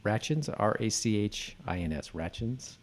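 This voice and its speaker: Low-voiced man in halting podcast chat. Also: lively intonation. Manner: rambling, halting, self-correcting. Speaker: podcast co-host. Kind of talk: casual chat about a TV episode.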